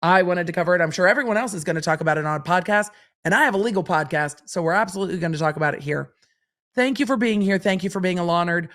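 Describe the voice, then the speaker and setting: A middle-aged woman talks through a legal case on her own podcast, her voice a touch raspy from long days of streaming. She reads out a statute clause by clause, then poses questions to herself and answers them plainly. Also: on the low side, fast, a bit bright. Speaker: legal commentator and podcast host. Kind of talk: solo legal podcast commentary.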